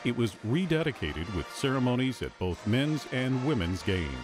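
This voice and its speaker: Newsreel narrator: American man, middle-low voice with expressive range, scripted read. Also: moderate pace. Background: music bed.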